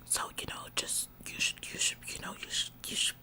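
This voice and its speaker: A woman voicing a bossy, officious store employee in an ASMR roleplay, nagging in halting, repetitive, passive-aggressive phrases. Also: bright, forceful tone. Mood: stressed, nagging. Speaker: ASMR performer.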